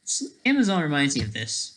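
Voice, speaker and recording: A man talking casually on a livestream, rambling and halting, often trailing off mid-thought, with a sardonic, self-deprecating streak. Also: on the high side, huge pitch swings, fairly bright tone, a little hiss or hum, poor audio.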